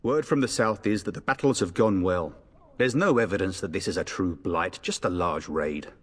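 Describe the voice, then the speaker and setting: A man's voice: sits low, moderate pace, big-room sound. A man playing a jaunty, teasing young noble soldier in a video game. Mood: confident, joking.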